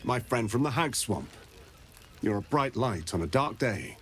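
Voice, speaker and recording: A man playing a grave, weary monster hunter in a video game, measured and guarded. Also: medium pitch, animated, slight background noise, lightly acted. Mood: warm, somber. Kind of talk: video game character acting.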